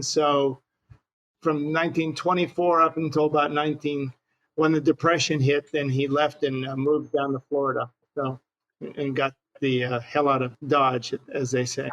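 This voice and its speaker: A man's voice: fairly high pitch, level tone, moderate pace, fairly bright tone, poor audio. An older man from Hawaii with an American accent, casually reminiscing as an interview guest. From Hawaii, United States.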